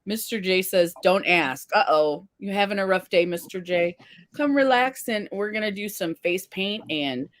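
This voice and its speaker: A woman's voice: medium pitch, normal speed, no echo. A woman hosting a casual livestream, chatting and reading viewer comments aloud. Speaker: livestream face paint host.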